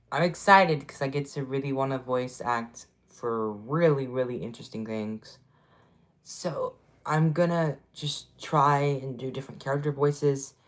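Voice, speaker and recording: Low-voiced woman chatting on a livestream. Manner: chatty and upbeat, repeats herself, greets viewers. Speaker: livestream host. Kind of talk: casual livestream chat.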